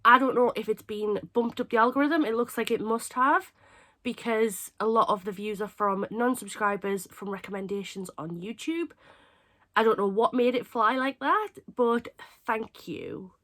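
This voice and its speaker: A young woman from England with a cold, sounding hoarse and stuffy, chatting casually and rambling to camera as a craft channel host. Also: a little high, normal speed, a bit bright. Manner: chatty, rambling, self-mocking.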